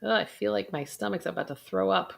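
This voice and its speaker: A woman's voice: mid-range voice, fairly quick, vocal fry. A middle-aged woman, a financial planner, coaching the viewer through a guided intuition exercise with breathing.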